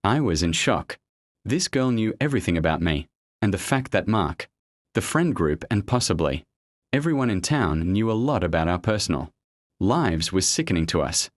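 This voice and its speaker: A man reading out a first-person online story as a steady narrator, spelling out abbreviations letter by letter. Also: low voice, moderate pace, no room sound.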